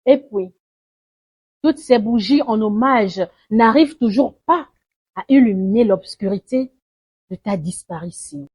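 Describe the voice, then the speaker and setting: A woman recites a poem dramatically, in a solemn, mournful and deliberate way, swelling and hushing her phrases. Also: mid-range voice, expressive, slightly rough. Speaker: poetry reciter. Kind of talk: dramatic spoken-word poetry recitation.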